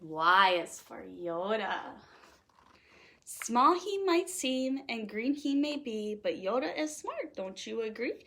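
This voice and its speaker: A woman reading a children's picture book aloud in an animated, playful way, leaning on the rhymes. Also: fairly high pitch, slow pace, a little hiss or hum. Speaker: storytime host reading aloud.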